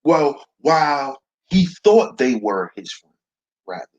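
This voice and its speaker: A man with a baritone voice hosting a slow, preachy commentary monologue, calling his listeners brothers. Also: big pitch swings, a little mellow, muffled recording. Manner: preachy, addresses listeners as brothers, repeats "see". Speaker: commentary channel host.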